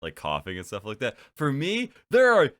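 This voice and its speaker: A man's voice: mid-range voice, wildly swooping pitch, normal speed, a bit bright. A young man with a stuffed-up nose hosting a casual chat, rambling with lots of fillers and giggles. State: stuffed up from allergies. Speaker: podcast host.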